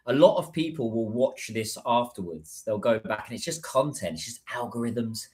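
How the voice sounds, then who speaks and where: A British man talks loosely to viewers on a livestream, his voice sitting at an ordinary middle pitch. He rambles, restarts sentences and fills gaps with "um" and "like". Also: expressive, normal speed, darkish tone. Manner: rambling, chatty, many fillers and restarts. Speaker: livestreamer and business content creator. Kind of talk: casual livestream chat.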